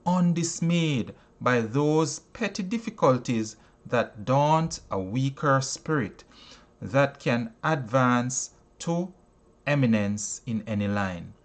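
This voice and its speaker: A man reading a self-help audiobook in an emphatic, oratorical manner, breaking sentences into short phrases with deliberate pauses. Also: medium pitch, expressive. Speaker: audiobook reader and teacher.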